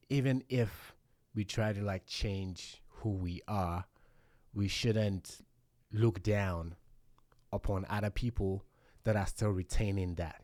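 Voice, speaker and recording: A man's voice: lowish voice, a little mellow, no echo. A man hosting a casual podcast conversation, reflective and thinking aloud with many fillers. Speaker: podcast host.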